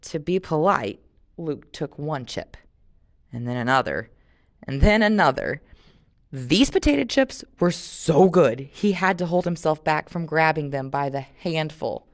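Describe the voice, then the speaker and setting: A woman reading a story aloud in an expressive audiobook style, with casual, conversational commentary as she leads the discussion. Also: low-pitched, a little slow. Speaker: reader leading a read-aloud and discussion.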